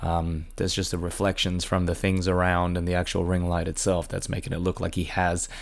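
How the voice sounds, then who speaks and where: A man with a raspy voice from a sinus infection, a snake breeder casually explaining his animals with many ums and uhs. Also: low-pitched, monotone, fairly quick. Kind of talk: casual explanatory show-and-tell.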